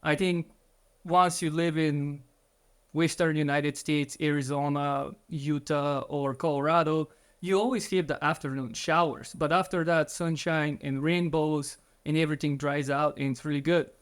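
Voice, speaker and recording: A man's voice: a little high, steady tone, normal speed, clean tone. A man from Bulgaria, in his thirties, earnestly telling his story as an interview guest, with slightly phrasing.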